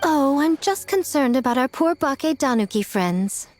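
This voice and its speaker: Game role: sly, high-voiced lady, arch and dramatic, very lively intonation. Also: normal speed, soft background music. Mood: arch, teasing. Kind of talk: video game cutscene acting.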